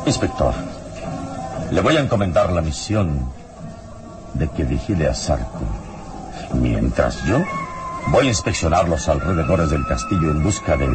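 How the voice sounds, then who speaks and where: A man playing a calm, serene hero in a radio drama, speaking in a measured, deliberate way. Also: extremely low pitch, full character voice, rough recording. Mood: grave, commanding. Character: calm, serene heroic adventurer investigating murders. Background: dramatic music.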